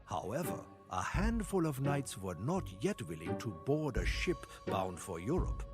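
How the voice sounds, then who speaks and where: A mid-pitched man as a solemn game storyteller, with hugely varied intonation. His scripted narration is grave and theatrical, set over clearly audible music. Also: sing-song, a little slow. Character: solemn male storyteller recounting medieval campaign history.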